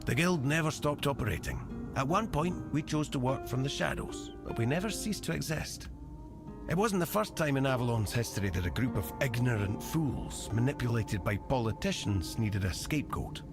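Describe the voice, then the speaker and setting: An actor playing a cynical, shadowy guild member in a fantasy game, speaking in a measured, wry, secretive way. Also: male voice, mid-range voice, animated, close to an everyday voice. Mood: proud, defiant. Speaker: quest giver in a fantasy game. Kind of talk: scripted fantasy game dialogue. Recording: music behind the voice.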